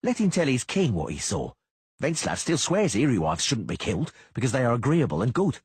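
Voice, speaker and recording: A man's voice: mid-range voice, wildly swooping pitch, fairly quick, darkish tone, slightly rough, no echo, studio quality, pitched above the performer's own voice, heavily acted. A man voicing a crude, sneering beady-eyed mercenary in an audiobook. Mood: sneering mocking. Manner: mocking, crude.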